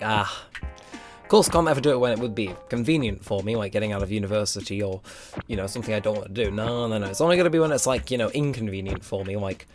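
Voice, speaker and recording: A young man with a slightly hoarse, strained throat, rambling casually with lots of fillers while commenting on a game. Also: fairly low pitch. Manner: rambling, filler-heavy, self-mocking. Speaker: let's play host. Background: game music.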